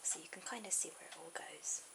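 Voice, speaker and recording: Woman, breathy ASMR whisper, low creaky voice, informal drawing tutor, hesitant pauses. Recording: very noisy amateur camera audio.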